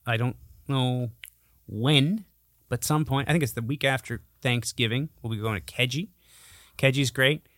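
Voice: medium pitch, moderate pace, fairly bright tone, dry recording, clean studio sound. A podcast co-host chatting casually and comedically, bantering and swearing freely.

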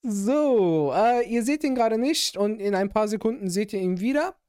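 A man's voice: sits high, lively intonation, moderate pace, darkish tone, clear, resonant tone. A man hosting a podcast in casual chat, joking and laughing often, with many fillers and restarts. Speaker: podcast host.

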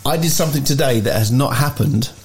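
A man co-hosting a podcast, telling a story in casual chat with dry, deadpan humour. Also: medium pitch, fast talker, background noise. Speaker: podcast co-host.

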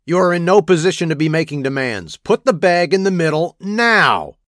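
A man's voice: fairly high pitch, sing-song, moderate pace, fairly bright tone, dry recording, studio quality. A man playing a big, menacing, impatient thug of a kidnapper in an audio drama, barking aggressively. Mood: menacing, demanding.

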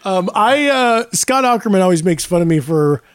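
Higher-voiced man with a raspy edge. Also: expressive, moderate pace. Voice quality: raspy moments. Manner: rambling, jokey. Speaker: podcast host and band singer. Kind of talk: casual music chat with anecdotes.

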